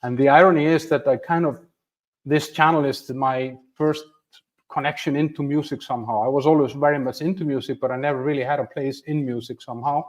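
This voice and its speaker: A man's voice: medium pitch, steady tone, a little fast. Chatty Icelandic-born man in his fifties. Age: 50s. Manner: chatty, self-deprecating, lots of restarts and fillers. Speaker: channel host. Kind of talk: livestream talk to viewers. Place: home room with a flag behind him.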